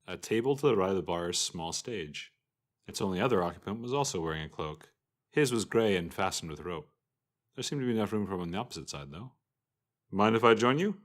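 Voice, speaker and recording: Man narrating a scripted fantasy tale. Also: deep voice. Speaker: storyteller.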